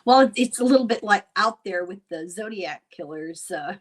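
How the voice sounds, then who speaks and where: A woman hosts an informal conversation in a medium-pitched voice. She talks casually, as in an everyday chat with a guest. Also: expressive, normal speed. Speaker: host.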